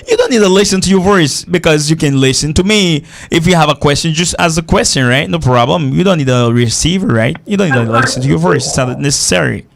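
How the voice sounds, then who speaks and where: A man's voice: on the high side, quick pace, gravelly, hardly any pauses. A man from Haiti with an American-sounding accent, teaching English as host of a live call-in show, repeating himself and checking understanding with tag questions.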